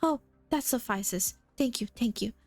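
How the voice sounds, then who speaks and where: A woman voicing a warm, rambling older man who keeps a hotel, with faint music behind. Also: a little high, expressive, a little slow. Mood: fond. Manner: rambling, fond, nostalgic. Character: warm, chatty older male hotel keeper.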